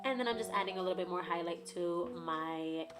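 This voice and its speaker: Young woman, hoarse and raspy, casual chatty tutorial read, lowish voice, music loud behind. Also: brisk. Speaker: beauty vlogger. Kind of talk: makeup tutorial voice-over. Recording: voice-over with loud background music.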